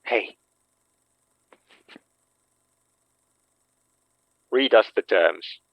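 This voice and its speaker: A man's voice: on the low side, measured pace, vocal fry, no echo, dull audio. An actor playing a wry, cautious, self-deprecating man in an audio drama, talking in a casual, dry-humored way. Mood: guarded, firm.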